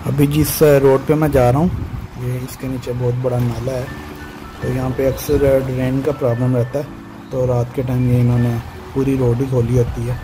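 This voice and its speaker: Man with flat, even delivery, vlogging. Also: medium pitch, normal speed, low-quality recording. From Pakistan. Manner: points out passing sights to viewers. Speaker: motorbike vlogger. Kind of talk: casual vlog commentary while riding. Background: music, vehicle sound.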